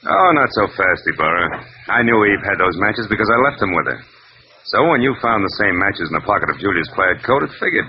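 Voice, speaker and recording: A man's voice: on the low side, animated, fairly quick, background noise. An American actor playing a world-weary, sardonic male private detective in a 1940s radio noir drama. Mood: dry, weary. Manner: clipped, sardonic, tough. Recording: dull vintage radio recording with music.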